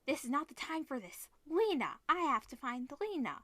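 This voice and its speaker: An anxious, impulsive student hero from a visual novel, voiced by a woman reading along. The voice is bright and a bit high, urgent in manner, recorded dry and close. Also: very animated, normal speed, no echo, full character voice. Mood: agitated, urgent. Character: anxious, impulsive student protagonist searching for a friend.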